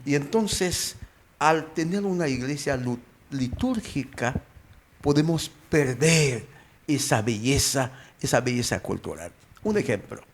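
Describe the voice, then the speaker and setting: A man's voice: medium pitch, lively intonation, measured pace. A man speaking as a pastor, teaching informally in a group discussion, repeating phrases and posing questions. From born and raised outside Mexico, living in Mexico. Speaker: pastor, guest explainer.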